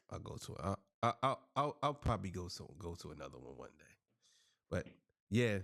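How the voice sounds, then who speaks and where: A man's voice: low-pitched, gravelly. A man hosting a casual chat in a laid-back way, trailing off at the ends of lines. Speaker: podcast host.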